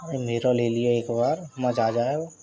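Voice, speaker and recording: A man's voice: medium pitch, normal speed, dark tone, a little hiss or hum, lightly acted. A man playing a flirtatious married man in a casual staged phone chat, teasing with sly double meanings. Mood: flirty, suggestive.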